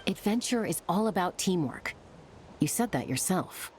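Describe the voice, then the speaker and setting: A woman's voice: on the low side, expressive, normal speed, a little mellow, slight background noise, close to an everyday voice. A woman playing a guarded, watchful adventuring companion in a video game, terse and cautioning. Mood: cautious. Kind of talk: video game character acting.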